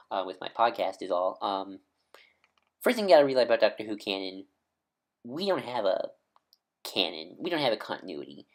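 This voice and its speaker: Rambling fan man full of ums. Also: mid-range voice. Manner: enthusiastic fan. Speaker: video host. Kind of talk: casual video discussion.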